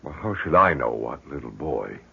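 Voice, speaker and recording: A man's voice: very deep voice, sing-song, vocal fry, bright, forceful tone, breathy edge, highs cut off, exaggerated voice. An actor playing a worried, insistent middle-aged father who stumbles and repeats himself, in 1970s radio drama style. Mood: bewildered. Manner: halting, repeats and stumbles over words. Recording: thin, band-limited 1970s radio recording.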